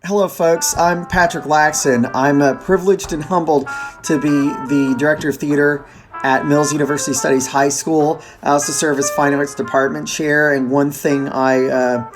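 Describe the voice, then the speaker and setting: A man speaking as host and theater director, giving an earnest, halting welcome speech. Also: mid-range voice.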